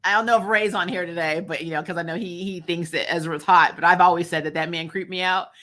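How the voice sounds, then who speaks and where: A woman's voice: fairly low pitch, fairly even intonation, fast talker, sharp tone. A woman casually chatting in a joking film review, sarcastic and blunt, often giggling as she talks. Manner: giggly, laughs often while talking; sarcastic, blunt, salty language. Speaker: movie review show host.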